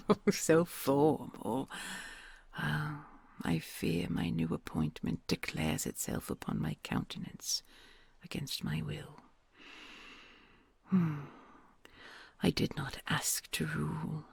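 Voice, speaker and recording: Give me a woman playing an ancient, lonely dragon queen, whispery and gravelly, slow and courtly. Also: low-pitched, fairly even intonation, no room sound, full character voice. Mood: tender whisper. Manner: formal, courtly old-fashioned phrasing, soft and slow. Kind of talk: audio roleplay acting.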